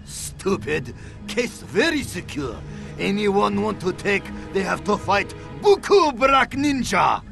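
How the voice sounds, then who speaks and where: Very gravelly, high-pitched Japanese ninja. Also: male voice, very animated, slow, music in the background, full character voice. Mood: boastful, threatening. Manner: broken English, exaggerated delivery. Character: excitable, cowardly but boastful male ninja guard. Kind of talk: video game cutscene acting.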